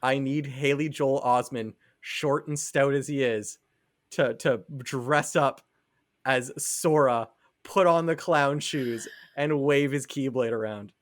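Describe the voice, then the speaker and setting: A man's voice: mid-range voice, takes it slowly, no echo, studio quality. A man co-hosting a casual podcast, talking in a theatrical, mock-dramatic way. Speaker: podcast co-host. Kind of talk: casual chat.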